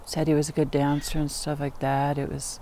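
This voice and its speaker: A woman's voice: low-pitched, flat delivery, fairly quick, dark tone, a little hiss or hum. A woman in her sixties recalling her life in an unscripted, hesitant way, recorded outdoors in a backyard. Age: 60s. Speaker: documentary interviewee. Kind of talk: unscripted personal recollection.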